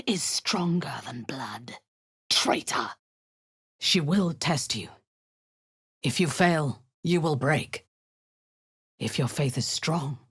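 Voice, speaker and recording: A woman voicing a cruel, zealous drow commander in a video game, menacing and slow, with a low, husky voice that often drops to a whisper. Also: dry recording, clean studio sound, heavily acted. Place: studio booth. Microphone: close studio mic, dry.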